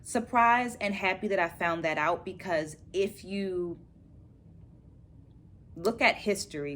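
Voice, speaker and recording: Casting: woman, middle-aged, lowish voice, careful educator delivery for a book vlog, noticeable ambient noise. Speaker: dance educator and vlogger. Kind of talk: book-review vlog, presenting takeaways to viewers. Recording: clear background noise, room ambience.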